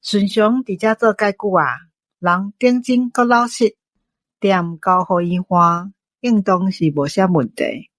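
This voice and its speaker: A woman plays a friendly, strong-willed shopkeeper lady with a Taiwanese accent in a radio drama. The sound is close and dry, with a middle-pitched voice. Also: a little slow, no room sound, natural voice. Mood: reassuring. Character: friendly, determined woman shopkeeper joining an adventure. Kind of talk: audio drama acting.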